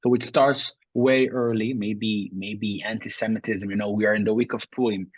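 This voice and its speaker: Israeli man, husky voice, dry sound. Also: fairly low pitch, fairly even intonation, moderate pace, no echo, lo-fi sound. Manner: thoughtful, with frequent restarts and uh fillers. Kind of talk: interview answers.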